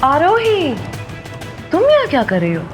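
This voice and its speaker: Catty, high-pitched spoiled rich girl. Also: wildly swooping pitch, measured pace, music in the background, heavily acted. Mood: smug, gossipy. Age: young adult. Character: spoiled, catty rich young woman, smug rival. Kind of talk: Hindi audio drama acting.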